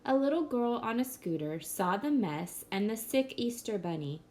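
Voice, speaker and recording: A woman reading a children's picture book aloud in a gentle storybook manner. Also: a little high, lively intonation, normal speed.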